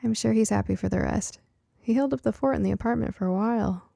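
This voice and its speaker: A woman voicing a cheerful, caring mother in an audiobook story, gently teasing and reassuring. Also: mid-range voice, quick pace, vocal fry, dry recording, clean studio sound. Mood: fond, teasing. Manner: chuckling, reassuring, coaxing.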